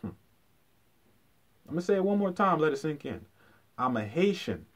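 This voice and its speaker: A man's voice: a little high, very animated, normal speed. A man giving an informal explanatory lecture in colloquial speech, emphatically repeating key phrases and asking rhetorical questions.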